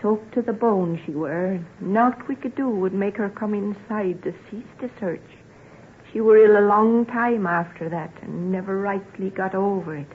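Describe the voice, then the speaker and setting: A woman's voice: mid-range voice, moderate pace, natural voice. An actress playing a plain-spoken, sorrowful woman in an old-time radio drama. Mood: grieving, awed. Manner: earnest rustic dialect storytelling. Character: plain-spoken sorrowful country woman, devoted to her family. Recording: tinny, noisy old radio recording.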